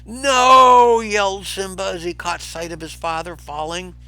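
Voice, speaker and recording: A man narrating a children's story aloud in a dramatic, theatrical and expressive way. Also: high voice, normal speed, gravelly, soft background music. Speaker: storybook reader for schoolchildren.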